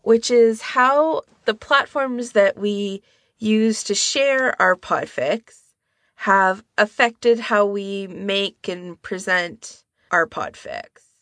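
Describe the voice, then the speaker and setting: A woman's voice: medium pitch, extremely slow, a bit bright, no room sound, studio quality. A woman co-hosting a podcast in a casual discussion style. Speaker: podcast co-host.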